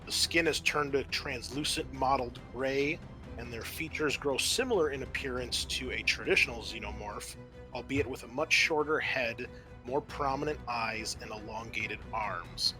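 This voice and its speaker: A man narrating as game master of a tabletop roleplaying game, explaining scenes and rulings with thoughtful pauses. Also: medium pitch, low-quality recording. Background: music.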